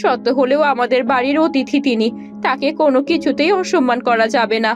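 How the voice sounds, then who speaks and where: A woman voices a worried, caring village wife in a cartoon story. Her voice sits somewhat high and her wording is careful. Faint music runs underneath. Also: a little fast, lo-fi sound, natural voice. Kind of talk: cartoon folk-tale dialogue.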